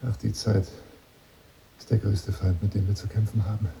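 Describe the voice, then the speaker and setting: An actor playing a lonely, boastful gentleman courting by telephone in formal, flowery German radio drama style, moving between murmurs and outbursts. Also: fairly low pitch, level tone, normal speed, vocal fry, slight background noise. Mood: melancholy, nostalgic. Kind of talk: radio drama monologue as one side of a phone call.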